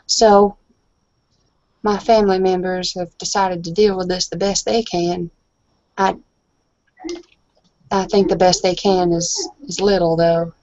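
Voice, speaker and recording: A woman roleplaying a tipsy, evasive, troubled young woman in a tabletop game, halting and apologetic. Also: lowish voice, level tone, darkish tone, no echo, muffled recording, lightly acted. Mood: low, troubled.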